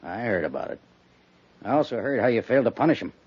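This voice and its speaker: Fast-talking, stern army major. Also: male voice, medium pitch, big pitch swings, breathy edge. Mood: wry, stern. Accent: American. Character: brisk commanding army major, stern but understanding. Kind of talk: 1950s American radio drama acting. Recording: muffled 1950s radio recording.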